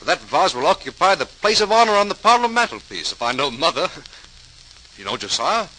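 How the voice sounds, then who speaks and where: An actor playing a hearty, practical, admiring brother with emphatic delivery in an old-time radio drama, on a noisy vintage recording. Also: male voice, a little high, big pitch swings, fairly quick, bright, forceful tone, exaggerated voice. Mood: encouraging, delighted.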